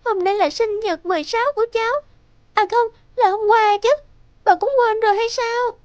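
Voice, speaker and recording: Very high-pitched, plaintive teenage schoolgirl. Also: steady tone, moderate pace, a little mellow, no room sound, lo-fi sound. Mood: pleading, sulky. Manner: plaintive, youthful, emotional. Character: sensitive, aggrieved sixteen-year-old schoolgirl. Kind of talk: animated drama voice acting.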